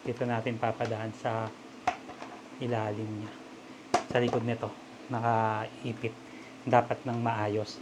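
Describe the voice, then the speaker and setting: Filipino man, casual tutorial over clear noise. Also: fairly low pitch, monotone, a little slow, long pauses. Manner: informal, talking along while working with his hands. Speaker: vlogger giving a DIY demonstration. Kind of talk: casual step-by-step tutorial talk. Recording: clear background noise, ambient sound.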